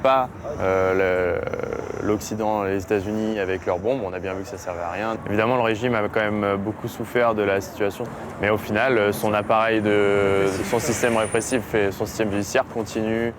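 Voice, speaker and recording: A young man speaks in a low voice. He is earnest but halting, restarting his phrases often. The noisy traffic of a city street runs clearly behind him. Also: steady tone. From France. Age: 20s. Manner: earnest, hesitant, with many fillers and restarts. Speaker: activist. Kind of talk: interview and conversation.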